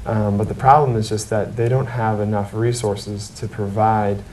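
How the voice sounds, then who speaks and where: A man's voice: level tone, moderate pace, darkish tone. A man giving earnest, explanatory interview answers as a member of a charity team. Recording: roomy echo, faint background noise, rumble.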